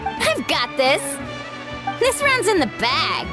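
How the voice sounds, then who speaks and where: Upbeat, very high-pitched sporty girl. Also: wildly swooping pitch, slow pace, heavily acted. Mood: cheerful, confident. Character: upbeat, confident young sporty girl competitor. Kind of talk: anime-style game acting. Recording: loud music and crowd behind.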